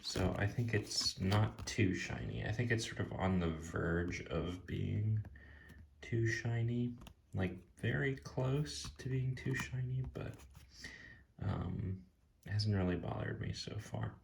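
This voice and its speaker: A soft-spoken man giving a casual, rambling review in an ASMR style, musing in a hesitant, unscripted way. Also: fairly low pitch, slow pace. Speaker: tarot deck reviewer. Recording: clearly noisy, clicks and tapping.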